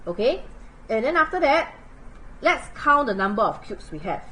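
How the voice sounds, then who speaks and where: A woman's voice: fairly high pitch, normal speed, low-quality recording. A woman from Singapore with a Singaporean English accent, teaching a math lesson on a livestream in a chatty manner with local particles. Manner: chatty, animated, uses local particles like lah and leh. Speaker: math tutor.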